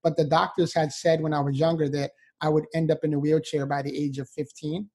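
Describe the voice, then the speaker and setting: Upbeat, mellow-voiced New man. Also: a little high, level tone, fairly quick. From New York, USA. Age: 40s. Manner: earnest, upbeat, with light laughs and fillers. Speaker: motivational speaker guest. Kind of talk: interview storytelling.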